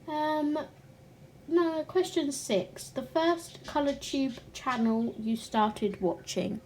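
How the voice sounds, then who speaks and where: Croaky, slow-talking woman. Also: sits high, darkish tone, slight background noise, rough recording. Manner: reflective, stops to clear her throat. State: croaky, short of breath. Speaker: colouring channel host. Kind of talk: casual chat to camera.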